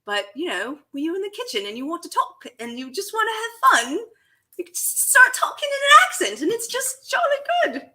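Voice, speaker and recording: A woman's voice: very high-pitched, fairly quick. A woman with a Chicago accent, a chef and coach, chatting enthusiastically as a guest on a radio show. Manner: enthusiastic, animated. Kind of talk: casual interview chat.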